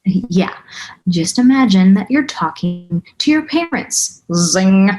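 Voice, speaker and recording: A woman playing a teasing, bossy agent who coaches a colleague, in a deadpan table-read delivery. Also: medium pitch, slow, faint music behind, poor audio. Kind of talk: screenplay table read acting.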